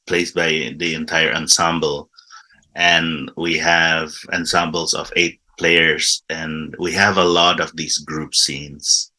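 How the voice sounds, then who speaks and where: Guy, super low voice but bright, chatty and keen, restarts a lot. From Indonesia. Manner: enthusiastic, restarts words often, explanatory. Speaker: improv teacher, guest. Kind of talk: casual interview chat.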